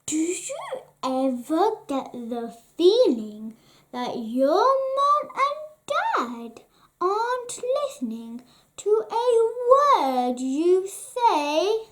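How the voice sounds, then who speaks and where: A children's story reader and channel host reading a bedtime picture book aloud in an expressive, deliberate way. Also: high-pitched.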